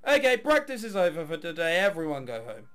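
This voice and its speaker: Dude voicing a bossy no-nonsense girl from a visual novel, curt, highish voice, lively. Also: fairly quick, clear, resonant tone, soft background music. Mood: firm, commanding. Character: brusque, defiant young woman orchestra leader.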